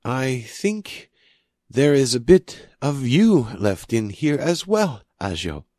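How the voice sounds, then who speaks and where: A man's voice: medium pitch, sing-song, takes it slowly, warm tone, no room sound, clean studio sound, pitched above the performer's own voice. A man voicing a menacing woman who taunts her captive with cool, knowing advice in an audiobook story. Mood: musing.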